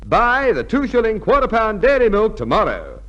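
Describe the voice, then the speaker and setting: A man's voice: high voice, wildly swooping pitch, moderate pace, a bit bright. A male host reading scripted 1950s radio announcements in a polished, emphatic manner. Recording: dull old radio, music behind.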